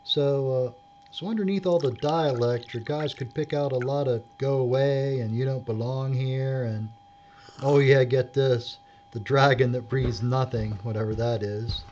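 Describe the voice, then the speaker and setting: An actor playing a practical, mildly wry filmmaker in an audio drama, casual and matter-of-fact. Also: male voice, medium pitch, steady tone, a little slow, darkish tone, lo-fi sound, slight background noise, close to an everyday voice. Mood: polite, matter-of-fact. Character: practical, level-headed male documentary filmmaker, mildly wry.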